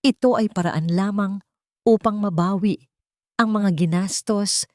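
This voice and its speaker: A woman reading a dramatic audiobook story in a solemn, deliberate way. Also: mid-range voice, a little mellow, no room sound, clean studio sound. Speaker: audiobook narrator. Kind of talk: dramatic scripted story narration.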